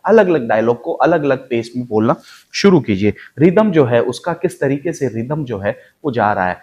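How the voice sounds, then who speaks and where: Man, medium pitch, acting coach, instructional delivery, emphatic, repeats key points. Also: lively intonation, normal speed, darkish tone. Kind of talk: instructional acting lesson with spoken demonstrations.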